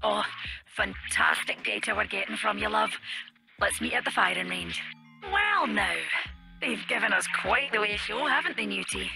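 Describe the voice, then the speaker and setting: An eccentric Scottish scientist from a video game, cheery and coaxing. Her voice is bright and very crackly, coming through a radio filter over music. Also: mid-range voice, sing-song, normal speed, gravelly, exaggerated voice. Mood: cheery, pleased. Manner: chatty, endearments, eager. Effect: radio transmission filter. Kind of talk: video game character voice lines.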